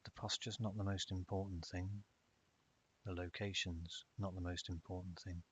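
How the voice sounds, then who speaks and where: A man narrating a guided meditation in a calm, measured way with long pauses. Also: low-pitched. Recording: dull lo-fi audio with hiss.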